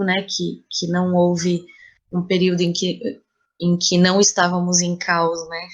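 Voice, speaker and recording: A Brazilian woman speaking reflectively as an interview guest, pausing and repeating phrases as she thinks. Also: on the low side. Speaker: playwright and theatre artist, podcast guest.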